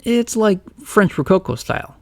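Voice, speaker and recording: A man voicing a shy, anxious teenage boy who talks in halting starts. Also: high-pitched, big pitch swings, voice pushed up. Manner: hesitant, halting.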